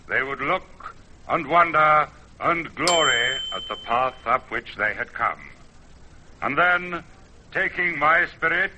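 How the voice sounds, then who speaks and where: A man's voice: a little high, pushed and bright, full character voice. A man playing an ancient, proud mountain spirit, speaking solemnly and theatrically in old-time radio drama. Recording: dull vintage radio recording, music behind.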